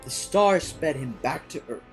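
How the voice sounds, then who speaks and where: A man tells a storybook tale in a theatrical way, with a fairly high voice that swings widely in pitch. He moves between hushed lines and big loud ones. Orchestral music plays behind him. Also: expressive, measured pace, gravelly. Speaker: read-aloud storyteller. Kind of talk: dramatic storybook narration.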